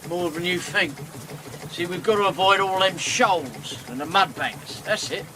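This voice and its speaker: A man's voice: high-pitched, unhurried, background noise. An actor playing a boozy river boatman, plainspoken and grumbling. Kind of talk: radio drama acting.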